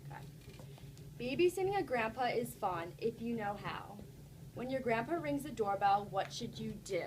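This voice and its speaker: A woman's voice: a little high, lively intonation, normal speed, noisy background. A woman teacher reading a storybook aloud to children, encouraging and instructive, stopping to put questions to the class.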